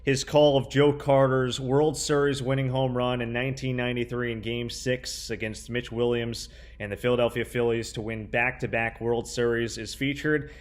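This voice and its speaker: A man's voice: mid-range voice, flat delivery, moderate pace, hardly any pauses. A young man hosting a conversational sports interview. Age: young adult. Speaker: interview host, sports broadcaster.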